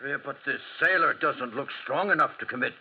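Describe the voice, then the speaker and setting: A man playing a husky, puzzled police prefect. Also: mid-range voice, a little fast, natural voice. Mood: skeptical, questioning. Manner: incredulous, questioning. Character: skeptical, puzzled police prefect questioning the detective. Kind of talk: 1940s radio acting. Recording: muffled vintage 1940s radio recording.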